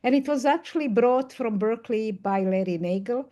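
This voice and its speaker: A woman's voice: on the high side, moderate pace, mellow. An older woman from Serbia, a professor speaking as a panelist on a video call, explaining thoughtfully at length. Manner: thoughtful, explanatory, with occasional laughs. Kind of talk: online panel discussion.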